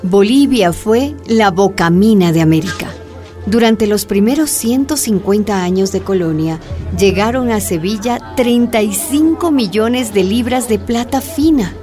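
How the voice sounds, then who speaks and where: A woman's voice: mid-range voice, a little slow, mellow, faint music behind. A woman narrating a radio drama in a scripted storytelling style.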